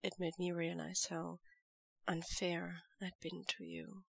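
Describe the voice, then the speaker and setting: A quiet, reserved young man voiced by a woman murmurs in a low, steady way, slow and a little gravelly. The tone stays bright and tense underneath. It sounds close and dry, like a storybook reading. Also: dry recording, full character voice. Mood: quiet tender. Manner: low, hushed and measured.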